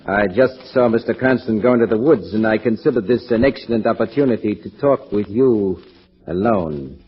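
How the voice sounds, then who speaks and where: A villainous male professor in an old radio thriller. He speaks with smug, icy politeness and measured formality. The sound is muffled, like an aged broadcast. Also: lowish voice, slightly rough. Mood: smugly polite. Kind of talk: 1940s radio thriller acting.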